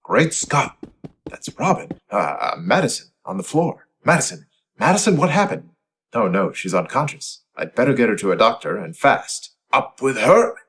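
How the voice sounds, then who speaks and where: A man's voice: mid-range voice, sing-song, moderate pace, fairly bright tone, husky. A man playing an upright, righteous adult hero with earnest, emphatic delivery in 1940s radio serial style. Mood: alarmed, urgent.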